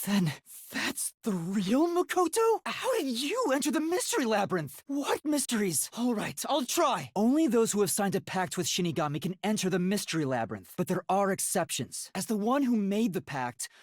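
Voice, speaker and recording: A man playing an earnest, anguished young detective in training in a video game, with a light, boyish, breathy voice and a hesitant, emotional delivery. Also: high-pitched, very animated, moderate pace, dry recording, studio quality. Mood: puzzled, reasoning. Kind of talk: dramatic English video game voice acting.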